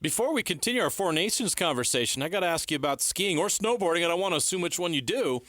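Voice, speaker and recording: Male co-host, higher bright voice, casual teasing banter, dry studio sound. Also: lively intonation, fast talker, dry recording. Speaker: sports radio co-host.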